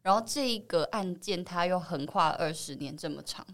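A woman speaks Taiwanese Mandarin in a slightly low register. She is an actress being interviewed, explaining her thoughts reflectively and sprinkling in the odd English word. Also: animated, moderate pace, fairly bright tone. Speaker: film actress, interview guest.

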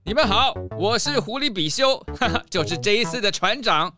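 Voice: mid-range voice, expressive, moderate pace, music in the background, lightly acted. A performer playing a cocky, gambling-mad fox ship captain in a children's audio drama, goading and blustery.

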